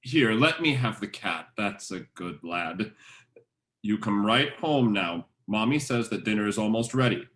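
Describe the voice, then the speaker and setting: A man's voice: lowish voice, lively intonation, a little slow, a bit bright. A man playing a courteous, apologetic stranger posing as a doting father in an old-time radio drama. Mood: falsely polite.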